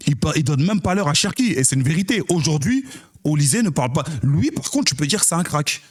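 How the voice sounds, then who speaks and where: A man's voice: a little high, lively intonation, fast talker. A young man hosting a live football debrief, arguing in casual street slang and repeating himself to get the floor. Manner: insistent, repeats phrases to cut in; street slang, calls people brother. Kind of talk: heated casual debate on a live stream.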